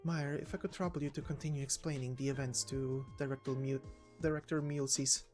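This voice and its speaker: A woman's voice: a bass voice, fairly even intonation, a little fast, a little mellow, faint music behind, pitched below the performer's own voice. A woman voicing a serious, reserved and troubled woman who questions things earnestly in a game story reading. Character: serious, reserved, troubled woman doubting what she hears. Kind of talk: voiced visual novel story reading.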